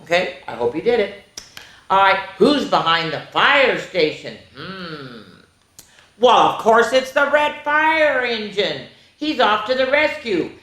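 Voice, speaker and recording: Female storytime reader for small children, highly animated and sing-song, addressing kids directly, recorded in a roomy, echoing space. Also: slow pace, a little hiss or hum. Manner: expressive, exaggerated, sing-song with sound effects; chatty asides to young listeners. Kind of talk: animated read-aloud to young children. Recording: echoey room.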